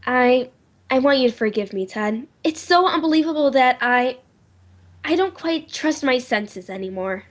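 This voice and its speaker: A woman's voice: high-pitched, animated, moderate pace. A woman playing an anxious, insistent well-to-do lady in old time radio drama style, urgent and faltering in manner. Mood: guarded politeness.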